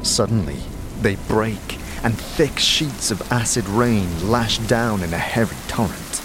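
A man narrating a fantasy audio drama in second person, in a steady, descriptive storytelling manner. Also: fairly low pitch, measured pace, background noise.

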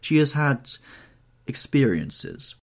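A man voicing a cynical aristocratic gentleman in an audiobook, drawling out languid epigrams. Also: medium pitch, very animated, slow pace, husky, pitched above the performer's own voice, exaggerated voice. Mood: wry.

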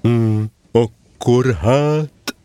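A man's voice: medium pitch, mellow, clear, resonant tone, lightly acted. A man playing a pompous, long-winded, self-important owl in an audio drama, hooting between phrases. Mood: officious. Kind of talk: audio drama character acting.

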